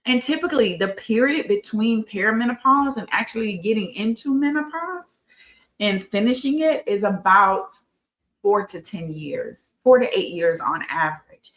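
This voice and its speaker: A woman's voice: mid-range voice, lively intonation, normal speed, fairly bright tone, rough recording. A fifty-year-old woman giving an informal educational talk as a host, conversational and emphatic, repeating phrases for stress. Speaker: host of a women's health talk show.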